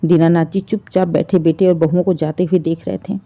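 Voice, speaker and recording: A woman's voice: low voice, fairly even intonation, fairly quick, lo-fi sound. A woman narrating an emotional family story in a storyteller's style.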